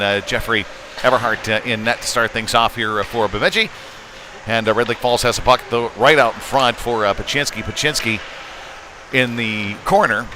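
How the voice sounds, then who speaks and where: Halting male hockey commentator over venue noise. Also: medium pitch. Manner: halting, filler-heavy, conversational delivery. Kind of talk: live amateur sports play-by-play commentary. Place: live at a hockey rink. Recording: clear background noise, live venue ambience.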